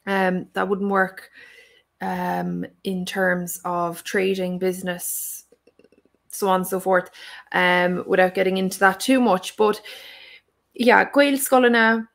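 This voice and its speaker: Young Irish woman teacher, earnest and thoughtful, gravelly fry, flat steady delivery. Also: on the low side, slow pace. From Ireland. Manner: thoughtful, earnest, many fillers. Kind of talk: live stream Q&A answer.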